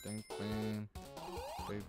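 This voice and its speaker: A man streaming a video game, chatting casually with viewers in an excitable, rambling way and swearing often. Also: on the low side, very slow. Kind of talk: casual gameplay commentary. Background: music.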